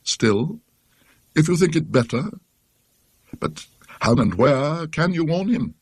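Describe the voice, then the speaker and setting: A British man voicing a firm, righteous yet reasonable gentleman in a ghost story. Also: on the high side, very animated, slow, breathy edge, no room sound, voice pushed up, heavily acted.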